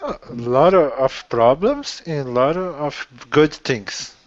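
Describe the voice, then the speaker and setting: A man's voice: on the high side, expressive, slow pace. Cheerful man learner on a call. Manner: cheerful, broken phrasing. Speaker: student. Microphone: video call. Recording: muffled distant video-call audio.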